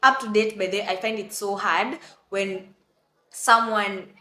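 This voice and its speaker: A young Kenyan woman with a Kenyan accent telling an animated storytime, exclaiming and acting out what others said. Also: medium pitch, a little slow, roomy sound, slight background noise. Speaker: vlogger. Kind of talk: casual storytime.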